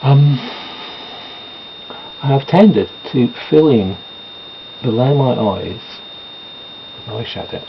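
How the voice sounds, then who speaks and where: A man's voice: medium pitch, expressive, takes it slowly, creaky, long pauses. A man chatting casually and meanderingly at home while doing his makeup, with self-deprecating asides. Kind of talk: rambling casual chat. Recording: dull lo-fi home recording with noise.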